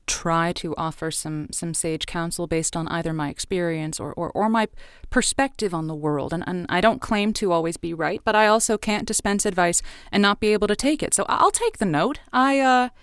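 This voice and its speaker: A woman's voice: on the low side, normal speed, smooth, no echo, clean studio sound, close to an everyday voice. A woman playing a waffling, people-pleasing radio advice host who keeps hesitating and restarting her sentences. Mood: flustered. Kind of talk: improvised audio drama.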